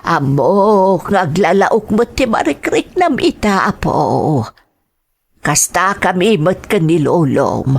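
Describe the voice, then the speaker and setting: Woman as caring grandmother, audio drama, husky, very slow, soothing, worried, counselling. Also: fairly low pitch, very animated, exaggerated voice. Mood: gentle, advising.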